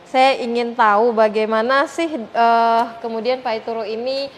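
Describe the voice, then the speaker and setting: A woman podcast host with a fairly high voice, speaking conversationally as she interviews a guest. She often pauses to find words and checks for agreement, over audible background noise. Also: steady tone.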